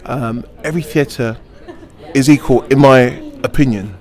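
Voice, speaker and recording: A man's voice: medium pitch, unhurried, a little mellow, some chatter behind. A middle-aged man, a pantomime actor, giving playful answers in an interview, joking and laughing often in a theatrical way.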